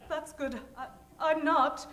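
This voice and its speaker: A woman's voice: high-pitched, steady tone, slow pace, a bit bright. A woman playing a meek, hesitant, stammering damsel in distress in an improvised old-time radio parody. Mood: shy, nervous. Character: timid, self-effacing young damsel in distress. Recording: roomy live stage sound.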